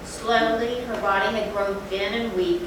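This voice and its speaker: A woman's voice: medium pitch, fairly even intonation, unhurried, lightly acted. A woman giving a subdued, solemn dramatic narration of a gothic story on stage. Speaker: narrator in a readers' theatre. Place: live theatre stage, recorded from afar. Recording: faint, distant, echoey, noisy hall.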